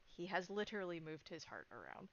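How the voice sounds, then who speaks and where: A woman's voice: lowish voice, sing-song, a little fast, lo-fi sound, slight background noise. A woman improvising third-person narration in a tabletop roleplaying game, describing what characters do and feel.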